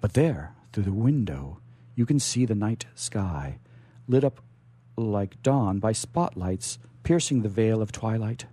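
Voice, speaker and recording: A man's voice: fairly low pitch, lively intonation, slow pace, mellow, slightly rough. A man hosting a radio storytelling show in a theatrical, playful, effusive showman's manner.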